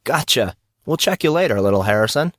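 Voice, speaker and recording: A man voicing a wild, excitable teenage boy with a casual, teasing manner in an audio drama. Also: mid-range voice, brisk, no room sound, clean studio sound, voice pushed up.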